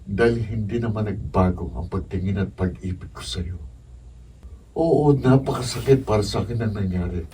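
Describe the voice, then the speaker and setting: A man's voice: fairly low pitch, moderate pace, mellow, natural voice. An actor playing a devoted, wounded young man pleading earnestly in a Filipino radio drama. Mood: tender pleading. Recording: distant mic with background noise.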